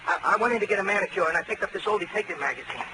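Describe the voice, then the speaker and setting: An actor playing a hungover, befuddled client who stammers and frets nervously in a 1940s radio drama. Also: male voice, high-pitched, animated, racing. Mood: anxious. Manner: stammering, groaning, nervous delivery. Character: hungover, jittery male client, fretful and befuddled. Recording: noisy vintage 1940s radio recording.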